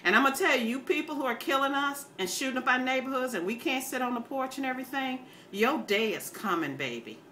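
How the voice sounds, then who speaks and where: A woman's voice: high-pitched, lively intonation, fast, few pauses, bright, forceful tone. An older American woman giving an impassioned, off-the-cuff rant to viewers in a blunt, scolding, emphatic and folksy way. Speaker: online ranter, self-styled grandma. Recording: noticeable background noise.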